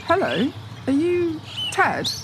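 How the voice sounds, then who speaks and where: A woman's voice: high-pitched, wildly swooping pitch, slow, background noise, exaggerated voice. A woman playing a polite, hesitant young college leaver in an audio drama, speaking with some reserve. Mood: polite, unsure. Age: young adult.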